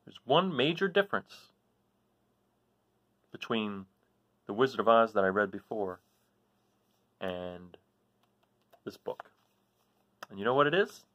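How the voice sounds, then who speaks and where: An American man in his forties from New Jersey, chatting casually and haltingly as he explains things to children. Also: lowish voice, expressive, a bit bright, muffled recording. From New Jersey, United States. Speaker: read-aloud host. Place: small living room, seated in an armchair.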